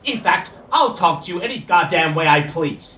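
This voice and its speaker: A man's voice: on the high side, animated, normal speed. A domineering, furious area manager tears into his staff, played by a man. His voice sounds distant in a roomy space. The scene is from a radio play. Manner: shouting, aggressive. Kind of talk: amateur radio play acting.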